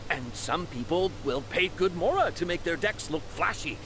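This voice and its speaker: A man's voice: a little high, animated, moderate pace, lightly acted. A man voicing a flustered, earnest young townsman in a video game, hesitant and a bit defensive. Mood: earnest recounting. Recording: clear noise behind the voice.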